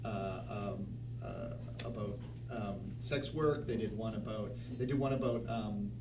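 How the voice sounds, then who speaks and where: A man chatting casually and enthusiastically, often halting to search for his words. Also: fairly low pitch. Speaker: discussion panelist. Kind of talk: casual chat. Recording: roomy, distant mic, noisy background.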